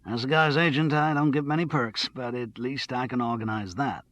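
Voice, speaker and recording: A man's voice: medium pitch, steady tone, moderate pace, a bit bright, no room sound, natural voice. A man voicing a brash talent agent with an American accent in an audiobook. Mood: jovial, boastful.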